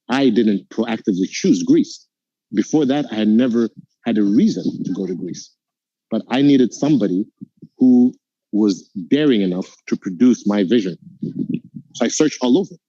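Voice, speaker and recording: Earnest Ugandan-born man, dark voice. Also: medium pitch, husky, dry recording, muffled recording. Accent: American-tinged. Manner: earnest, motivational. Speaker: guest entrepreneur. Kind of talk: reflective interview answer.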